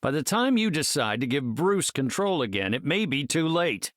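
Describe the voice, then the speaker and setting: An actor playing a brainy, confident scientist hero in a video game, speaking in an articulate, measured and slightly formal way. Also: male voice, a little high, very animated, fairly bright tone, no room sound, clean studio sound. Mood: thoughtful, earnest. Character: brainy, confident, earnest male scientist superhero leader.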